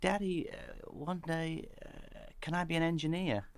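An English theatre director talking as an interview guest, telling stories in a chatty way. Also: male voice, fairly high pitch, unhurried. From England. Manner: chatty, storytelling. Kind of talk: interview conversation.

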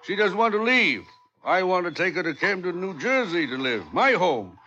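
Bluff old-time sea captain. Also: male voice, high-pitched, big pitch swings, moderate pace, pushed and bright, lo-fi sound, full character voice. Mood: blustering. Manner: bluff, coaxing, rough grammar. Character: blustering middle-aged ship captain, jealous husband. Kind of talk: 1950s radio drama acting.

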